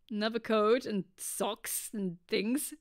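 A woman giving playful, expressive let's play commentary on a live stream, chatting in asides. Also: a little high. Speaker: streamer playing through a visual-novel style series. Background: music.

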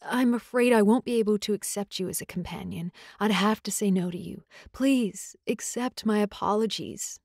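A woman voicing a young male alpha werewolf who speaks softly and apologetically, with halting restarts, in an audiobook. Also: medium pitch, no echo, clean studio sound, lightly acted. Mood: tender. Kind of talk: audiobook character voice.

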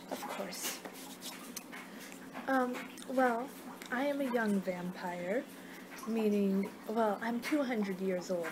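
A woman in her twenties doing a rambling, hesitant ASMR roleplay as a friendly vampire, speaking conversationally. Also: medium pitch. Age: 20s. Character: friendly young two-hundred-year-old female vampire hosting a guest. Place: small room at home. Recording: noisy home webcam recording.

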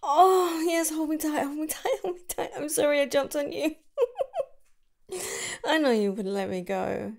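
Gushing woman with a gravelly edge. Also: high-pitched, measured pace, long pauses. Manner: gushing and affectionate, shifting to soft whispers. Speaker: ASMR roleplay creator. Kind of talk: ASMR girlfriend roleplay.

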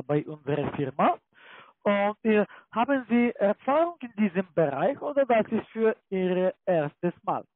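A man with a foreign accent, not a native German speaker, giving a repetitive scripted sales pitch as a call-centre agent, often checking that he is followed. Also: no room sound. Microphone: telephone. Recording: muffled low-fidelity phone call.

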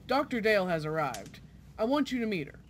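Frail old male professor, acted, kindly and excitable, very varied intonation, old-time radio play. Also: mid-range voice, a little fast, lightly acted. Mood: fond, eager. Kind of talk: amateur old-time radio drama acting. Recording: clearly noisy recording with clicks.

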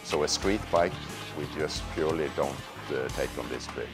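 Deep-voiced tour leader guy, talks slow-ish with lots of uh's, music playing behind. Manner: measured, with frequent "uh" pauses. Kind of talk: documentary interview.

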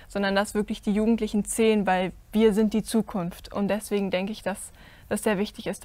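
Earnest young woman with an even, level voice. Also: medium pitch, no echo. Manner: earnest and insistent. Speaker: interview guest. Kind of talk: casual interview answer. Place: indoor stage hall with curtains.

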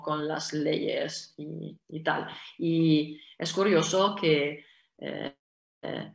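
A woman in her forties, a native Italian speaker with an Italian accent, answering questions slowly and haltingly with many fillers over a video call. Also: low voice. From Italy. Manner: slow, halting, many fillers and restarts, gestures with hands. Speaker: guest speaker. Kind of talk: online conference Q&A. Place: indoor room at home. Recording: echoey video call audio.

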